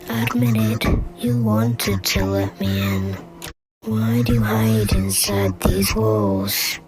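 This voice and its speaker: A woman voicing a menacing, predatory animatronic stalker from a game, taunting slowly and drawing out the words. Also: extremely low pitch, flat delivery, music in the background, pitched below the performer's own voice. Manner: slow, drawn-out taunting. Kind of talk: fan recital of game voice lines.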